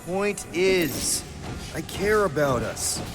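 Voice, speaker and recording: An actor playing a cocky but earnest space outlaw leader in a video game, with casual, slangy delivery. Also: male voice, fairly high pitch, sing-song, slow pace, in a large hall, over music. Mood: exasperated, earnest. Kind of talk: video game cutscene voice acting.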